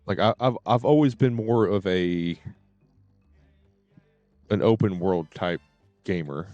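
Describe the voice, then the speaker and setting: A man's voice: sits low, takes it slowly, a little mellow, no echo, highs cut off, faint music behind. A man streaming games and chatting casually in a hesitant, rambling way. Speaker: game streamer. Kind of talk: casual livestream chat.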